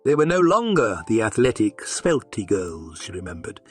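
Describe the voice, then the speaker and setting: A man narrating a scripted story in an expressive, dramatic storytelling manner. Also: lowish voice, moderate pace, slightly rough, soft background music. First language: English.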